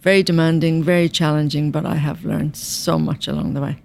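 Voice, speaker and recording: A woman in her fifties from Ireland, with a softened Irish accent, answering interview questions in a measured way and listing items at length. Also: low-pitched, fairly even intonation, creaky. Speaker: UN finance director guest.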